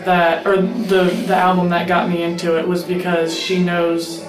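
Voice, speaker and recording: A woman's voice: lowish voice, monotone, normal speed. A young woman who plays mandolin and sings, answering interview questions in an earnest, rambling way with many fillers. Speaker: bluegrass mandolin player and singer. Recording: roomy echo with music behind.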